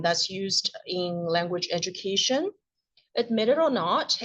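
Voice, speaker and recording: A woman giving an academic conference presentation in a measured way, with occasional filler sounds. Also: medium pitch. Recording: dull lo-fi recording.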